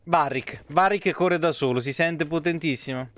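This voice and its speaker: A man's voice: on the high side, normal speed, a bit bright, no room sound. A man in his thirties with a Roman Italian accent, giving casual, slangy live game commentary and chatting with viewers in rambling asides. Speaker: game streamer.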